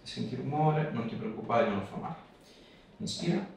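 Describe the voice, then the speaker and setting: A man's voice: medium pitch, animated, normal speed, gravelly. A man in his thirties giving short, reassuring treatment instructions as a chiropractor and asking the patient questions. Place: small indoor clinic treatment room. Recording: very echoey room, distant mic, faint noise.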